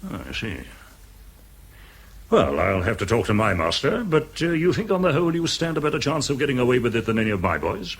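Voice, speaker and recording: A middle-aged English army CO in a radio drama. He talks fast with big swoops of pitch and a husky edge, blustery yet courteous in an old-boy way. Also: very animated. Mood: brisk, concerned. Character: brisk, courteous middle-aged British army commanding officer.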